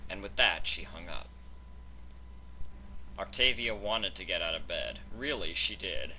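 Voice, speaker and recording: A man's voice: deep voice, lively intonation, measured pace, sharp tone, a little hiss or hum, low-quality recording. A man reading a scripted fan fiction story aloud as its narrator.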